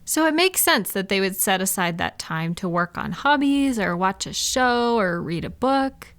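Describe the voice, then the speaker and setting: A woman playing a supportive, gentle female friend in an intimate, casual comfort roleplay, speaking in a reassuring, validating way. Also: mid-range voice, expressive, normal speed, clean tone, dry recording, close to an everyday voice. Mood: gentle, understanding. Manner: reassuring, validating, softly indignant on listener's behalf. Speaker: audio roleplay performer.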